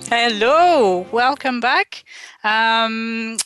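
A woman from Quebec with a Quebec French accent, French her first language, hosting a call-in radio show in a halting way, with many ums and restarts. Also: fairly high pitch, over music. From Quebec, Canada. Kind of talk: slow, hesitant call-in radio talk.

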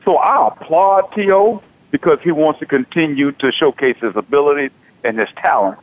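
A man's voice: on the high side, normal speed. A man in his forties hosting a sports talk radio show, talking casually and animatedly, often repeating himself. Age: 40s. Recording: tinny phone-like radio audio.

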